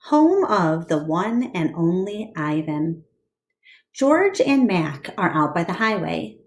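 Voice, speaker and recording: A woman teacher reading a storybook aloud to her class with expressive narration. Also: fairly low pitch, slow.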